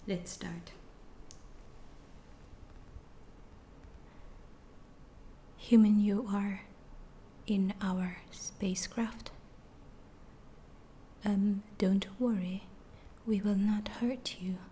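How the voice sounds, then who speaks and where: A woman plays a gentle alien abductor soothing a human captive in an ASMR roleplay. The voice is a hushed, breathy whisper, mellow and very unhurried, with halting phrases and a faint hiss behind it. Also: mid-range voice, fairly even intonation, close to an everyday voice. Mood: calm, reassuring. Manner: slow, halting, reassuring.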